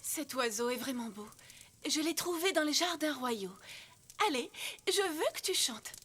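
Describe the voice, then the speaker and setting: A woman voicing a gentle, anxious royal mother in a French cartoon dub. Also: sits high, animated, a little slow. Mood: pleased.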